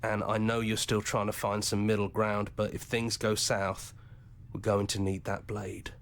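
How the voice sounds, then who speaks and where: An actor playing a supportive hero companion in a video game, conversational, reassuring and wryly practical. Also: male voice, on the low side, level tone, unhurried. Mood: wry, casual. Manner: conversational, reassuring, hesitant at times. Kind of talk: visual novel game acting.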